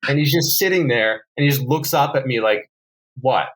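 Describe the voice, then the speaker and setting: Bright-voiced young man rambling through a story. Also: mid-range voice, moderate pace, low-quality recording. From New Jersey. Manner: rambling storyteller, many likes and ums. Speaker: guest falconer. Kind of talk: casual podcast chat.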